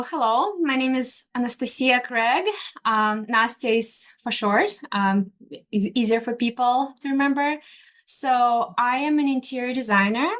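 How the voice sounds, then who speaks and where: A woman from Ukraine, an interior designer and artist, talking in an interview with frequent pauses, fillers and restarts. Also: a little high, a bit bright. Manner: hesitant, many fillers and restarts. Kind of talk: conversational interview.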